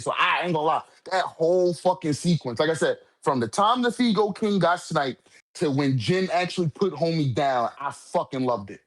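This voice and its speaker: A man in a casual manga discussion, talking in an emphatic, profane, slang-heavy way as a commentator. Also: fairly high pitch.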